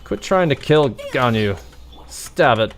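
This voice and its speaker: A man's voice: mid-range voice, expressive, slow, faint music behind. A man giving casual, rambling live gameplay commentary, thinking aloud as he streams. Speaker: game livestreamer.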